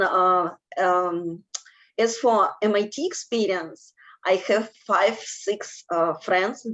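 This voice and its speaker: A woman's voice: on the low side, lo-fi sound. A woman from Russia, speaking hesitantly with many fillers as an interviewee on a video call. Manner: hesitant, many fillers, halting non-native phrasing. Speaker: alum interviewee. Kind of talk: panel discussion over video call.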